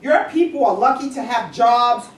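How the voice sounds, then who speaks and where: Woman playing a high-pitched, blustering factory boss. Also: expressive, normal speed, lightly acted. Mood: indignant. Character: indignant, domineering male factory boss. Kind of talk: staged play reading. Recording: echoey room, distant mic, faint noise.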